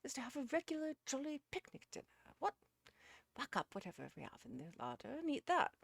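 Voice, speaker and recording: A woman voicing a jovial, bluff gentleman in a storybook, hearty and bossy. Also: sits low, huge pitch swings, moderate pace, creaky, slightly rough, no echo, distant mic, full character voice. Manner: hearty, exclamatory.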